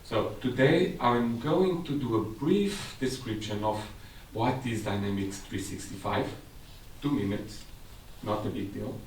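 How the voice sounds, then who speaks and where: Portuguese-accented man, seminar presenter, lowish voice, faint room noise. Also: a little slow. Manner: explanatory, slightly non-native phrasing. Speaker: technical specialist presenter. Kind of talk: conference presentation. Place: seminar room with a large audience. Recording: echoey room from far off.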